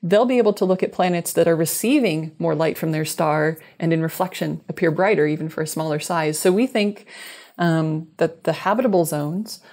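A woman in her thirties with a low-pitched voice talks through technical material as an interview guest. She explains thoughtfully and qualifies her statements as she goes, speaking over a video call on an earbud mic. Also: moderate pace, a little mellow. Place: small room indoors, video call. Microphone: wired earbuds headset on video call.

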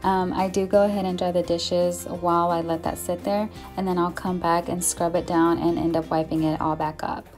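A woman's voice: fairly low pitch, flat delivery, moderate pace, hardly any pauses, darkish tone, soft background music. Chatty young woman vlogging her cleaning. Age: young adult. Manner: chatty, reassuring, apologetic about the mess. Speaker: home vlogger. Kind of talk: casual cleaning vlog narration. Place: home kitchen, indoors.

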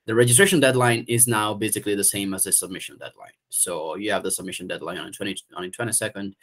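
A man's voice: fairly low pitch, fast, fairly bright tone. A young man with an Italian accent, talking hesitantly with many restarts as he updates a community call from home over a video call. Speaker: event organizer. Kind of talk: casual video call meeting. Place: room at home.

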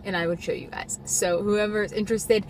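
A woman's voice: mid-range voice, steady tone, brisk, a little hiss or hum, rough recording. A young woman vlogging casually from her parked car on a phone camera, rambling and chatty with frequent ums. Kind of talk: casual grocery haul vlog. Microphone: phone camera on the dashboard.

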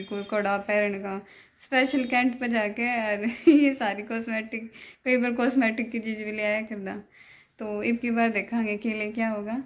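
A woman in her twenties with a Haryanvi accent, chatting casually to a phone held at arm's length for a family vlog. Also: on the high side. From Haryana, India. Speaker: family vlogger. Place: tiled room in a house, indoors.

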